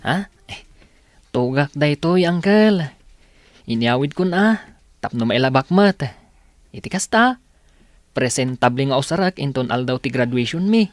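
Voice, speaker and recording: A man's voice: medium pitch, expressive, a little slow, pauses often, no room sound, clean studio sound, close to an everyday voice. A man with an Ilocano accent playing an earnest, respectful teenage boy in a radio drama. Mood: grateful.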